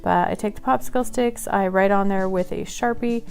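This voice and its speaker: A woman's voice: mid-range voice, normal speed, creaky, faint music behind. Chatty woman hosting a craft tutorial. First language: English; Polish is her second language. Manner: chatty, friendly, laughs at herself. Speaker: craft channel host.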